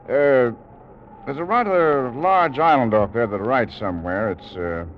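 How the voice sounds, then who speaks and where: An actor playing a thoughtful, uneasy gentleman yachtsman in a 1940s radio drama, hesitant and genteel in his phrasing. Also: medium pitch, measured pace, lightly acted. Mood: genial. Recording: dull, noisy old 1940s radio recording.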